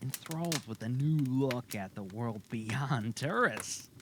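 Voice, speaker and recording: A man's voice: mid-range voice, animated, slow pace. A man narrating a fantasy audio drama from a script. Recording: clearly audible background noise.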